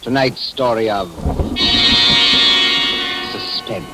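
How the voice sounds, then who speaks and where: An American man announcing formally and deliberately in the style of 1940s network radio. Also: fairly low pitch, low-quality recording. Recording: old 1940s radio broadcast, music behind. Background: music.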